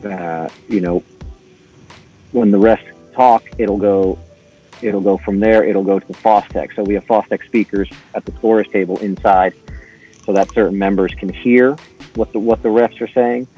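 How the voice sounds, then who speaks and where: A middle-aged man giving an informal, halting technical walkthrough as a podcast guest, step by step. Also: fairly low pitch, steady tone, slightly rough, lo-fi sound, faint music behind. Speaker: podcast guest, intercom engineer.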